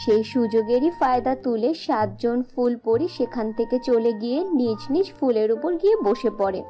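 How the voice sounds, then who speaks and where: A woman's voice: on the high side, expressive, normal speed, dark tone, faint music behind. A woman narrating a scripted fairy tale for a children's cartoon. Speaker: storyteller, narrator.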